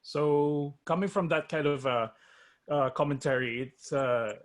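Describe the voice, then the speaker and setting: A man's voice: a little high, a little slow. A man taking part in an online discussion among composers, speaking hesitantly with many fillers as he works out his thoughts. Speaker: composer, panel guest.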